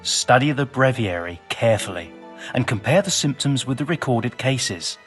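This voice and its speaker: A learned monk physician from a video game , acted by a man, whose pitch swings expressively as he lectures. He is pompous, bookish and a little absent-minded, yet well-meaning, with faint music underneath. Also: lively intonation, normal speed, a bit bright, lightly acted. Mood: scholarly, brisk. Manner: bookish, wordy and formal. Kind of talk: video game cutscene acting.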